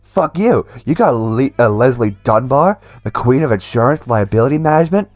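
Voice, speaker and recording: A man's voice: mid-range voice, expressive, moderate pace, a little mellow, dry recording, natural voice. A man voicing a brash, boastful young demon jock for a dating game.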